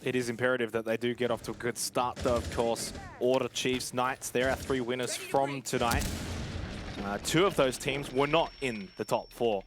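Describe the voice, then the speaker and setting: A man's voice: mid-range voice, steady tone, normal speed, background noise. A man giving live play-by-play commentary as an esports caster.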